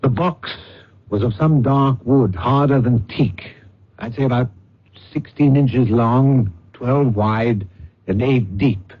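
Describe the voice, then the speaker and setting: A man's voice: medium pitch, slow, husky. Pompous, suave con-man, muffled. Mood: pompous, theatrical. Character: suave, pompous male con-man posing as psychic detective. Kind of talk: old-time radio drama acting. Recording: muffled, hissy old radio recording.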